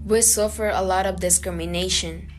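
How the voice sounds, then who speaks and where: A woman's voice: fairly low pitch, moderate pace. Earnest young woman from Guatemala. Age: young adult. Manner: earnest, steady reading. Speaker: student podcaster. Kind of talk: scripted reading. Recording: guitar music underneath.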